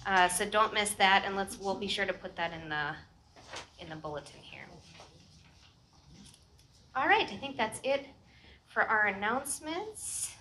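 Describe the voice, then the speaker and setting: A woman's voice: mid-range voice, big pitch swings, a little slow, pauses often, bright, forceful tone, a little hiss or hum. A middle-aged American woman, a pastor, chattily giving informal announcements and blessings to a congregation, projecting to the group outdoors. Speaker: pastor leading a church service. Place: outdoor church patio.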